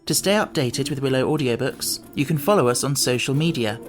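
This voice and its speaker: A woman's voice: very deep voice, animated, a little fast, music in the background. A woman reading a scripted children's story as an audiobook narrator.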